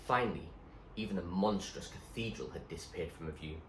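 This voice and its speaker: A man reading a story aloud as a teacher would, in expressive storybook narration. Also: sits low, fairly quick. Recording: roomy echo, faint background noise.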